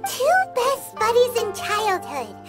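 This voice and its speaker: A woman's voice: extremely high pitch, sing-song, slow pace, heavily acted. A woman voicing a small, childlike, cheeky fairy companion in a video game, cute and exclamatory. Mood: wry. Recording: loud music over the voice.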